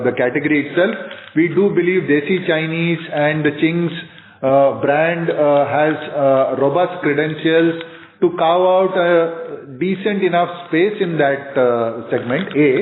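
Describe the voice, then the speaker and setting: Male executive for earnings call, halting and businesslike, slightly high voice, narrow phone sound. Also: slight background noise. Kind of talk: measured business presentation and answers. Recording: echoey, narrow conference phone line.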